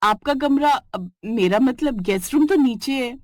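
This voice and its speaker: Young put-upon daughter-in-law for a cartoon, voiced by a woman, polite and flustered, bright. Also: sits high, expressive, a little slow, dry recording.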